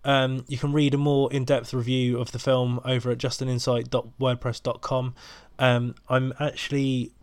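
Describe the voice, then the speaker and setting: Brief: male podcast host with a head cold, nasal and stuffy, monotone chatty delivery, dry close-miked sound. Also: mid-range voice, moderate pace, few pauses, smooth, no room sound. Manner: rambling, many ums and restarts. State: bunged up with a cold. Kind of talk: casual solo podcast intro.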